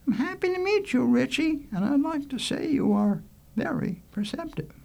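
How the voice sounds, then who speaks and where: A man's voice: extremely high pitch, big pitch swings, normal speed, creaky, darkish tone, voice pushed up, heavily acted. A man voicing a sweet, gracious young woman with polite, careful speech in a storybook reading. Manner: polite, well-spoken.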